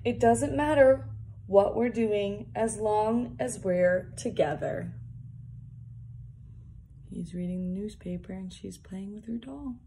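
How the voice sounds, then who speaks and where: Young woman, storytime reader for little kids. Unhurried pace, mid pitch, faint room noise behind. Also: warm tone. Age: young adult. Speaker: early years worker reading a picture book. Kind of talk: read-aloud storytime for young children with casual asides. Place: small plain indoor room, seated at a desk. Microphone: phone or device propped at arm's length.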